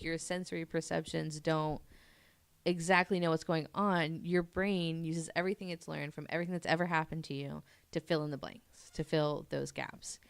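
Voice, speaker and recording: Young female podcast co-host, low gravelly voice, chatty with many fillers, dry close sound. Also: dry recording. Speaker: podcast co-host presenting research. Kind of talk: casual chat.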